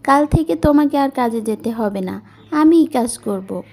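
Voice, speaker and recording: A woman's voice: a little high, expressive, measured pace, darkish tone. A woman voicing a devoted, polite but firm young village working girl in a cartoon. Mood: firm, caring.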